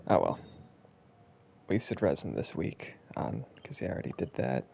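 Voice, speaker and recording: A man's voice: extremely low pitch, sing-song, unhurried, gravelly, darkish tone, a little hiss or hum. A man casually commentating as he streams a game, muttering and thinking aloud to himself. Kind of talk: casual live gameplay commentary.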